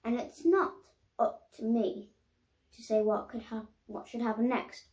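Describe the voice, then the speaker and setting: A woman voicing a serious, formal male official in a storybook, speaking in a measured way. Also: fairly high pitch, darkish tone, echoey room, natural voice.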